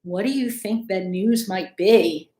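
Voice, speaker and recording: A woman's voice: medium pitch, unhurried, roomy sound, a little hiss or hum. A middle-aged woman reading a children's storybook aloud like a school principal, with teacherly asides to her listeners, in a home room. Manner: expressive, teacherly, addresses listeners with questions. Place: small room at home, indoors.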